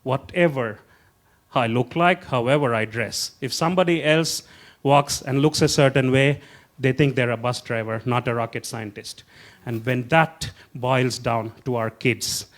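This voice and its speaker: Man, council candidate on panel, mid pitch, emphatic, rhetorical punch. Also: measured pace. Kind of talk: political panel speech.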